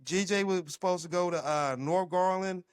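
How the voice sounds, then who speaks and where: A man in his fifties, a former football player, telling old team stories in a lively, laughing way. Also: high voice, normal speed, clear, resonant tone, no room sound, clean studio sound. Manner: animated storyteller with laughs. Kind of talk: casual reminiscing conversation.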